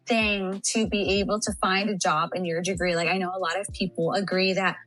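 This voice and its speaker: A woman's voice: mid-range voice, little pitch movement, fairly quick, fairly bright tone, faint music behind. Bubbly, chatty young Texan woman. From San Antonio, Texas. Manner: bubbly, chatty, laughs often. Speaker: interview guest. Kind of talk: casual podcast interview.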